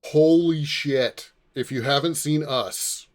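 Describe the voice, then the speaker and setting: A man's voice: on the high side, slow. A man co-hosting a podcast, talking in a casual, conversational way. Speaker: podcast co-host. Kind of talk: casual chat.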